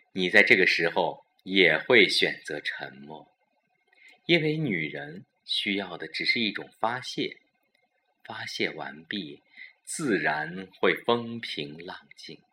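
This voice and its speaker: Guy narrating a suspense radio play, really slow and deliberate, intense sharp voice. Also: low-pitched, big pitch swings. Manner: dramatic, deliberate storytelling. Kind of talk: scripted suspense audio drama narration.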